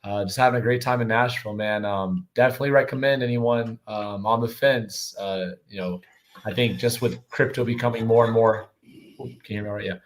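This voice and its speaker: A man with a slightly hoarse voice from yelling, chatting casually and enthusiastically in slangy speech as a guest on a livestream. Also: fairly low pitch, fairly even intonation, a little fast. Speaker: guest on a live show.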